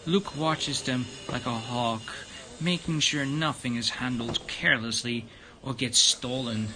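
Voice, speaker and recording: A man streaming a visual novel and reading its narration aloud, a bit halting. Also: medium pitch, sharp tone, a little hiss or hum, rough recording. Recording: guitar music behind the voice.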